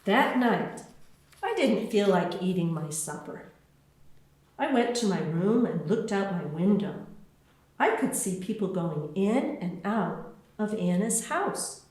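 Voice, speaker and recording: The voice is a woman's, reading a picture book aloud. She goes slowly and deliberately, with a wide, lilting range that shapes each phrase for young listeners. The room around her rings with heavy echo. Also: mid-range voice. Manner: slow, deliberate, expressive phrasing for children. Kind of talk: scripted storybook narration. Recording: very echoey, roomy sound.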